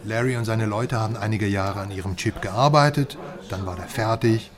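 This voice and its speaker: An actor playing a secretive, mistrustful informant who explains eagerly in a German radio crime drama. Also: male voice, fairly low pitch, moderate pace, lightly acted. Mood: eager explaining.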